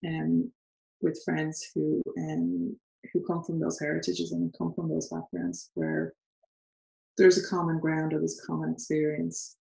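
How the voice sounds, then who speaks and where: A woman's voice: low voice, measured pace, creaky, mellow. A woman in her thirties with an Irish accent, talking earnestly in a casual chat at home. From Ireland. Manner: earnest, thoughtful, laughs between points. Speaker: co-host. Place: home sitting room. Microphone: far camera. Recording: roomy echo in living room.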